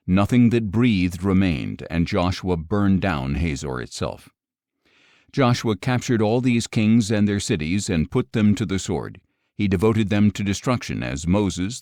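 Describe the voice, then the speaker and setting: Man, deep voice, audiobook narrator, scripted scripture reading, solemn, measured, dry recording. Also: a little mellow, dry recording.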